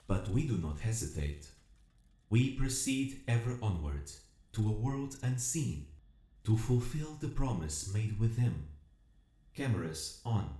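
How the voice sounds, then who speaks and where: A man voicing a vast collective machine intelligence in an audio drama, narrating in a solemn, measured, formal and coldly analytical manner. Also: fairly low pitch, dark tone. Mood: resolute, then watchful, detached. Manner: measured, formal, speaking as a plural we. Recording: roomy echo with music behind.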